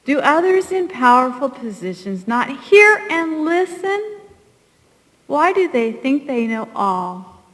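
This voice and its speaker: A middle-aged woman reads an emotional poem at a slow pace. Each short phrase is emphatic and weighted, echoing through a large hall. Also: high-pitched, sing-song, fairly bright tone. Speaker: soldier's mother reading own poem. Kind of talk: emotional poetry reading. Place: large hall at a public ceremony. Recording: echoey hall with long reverb.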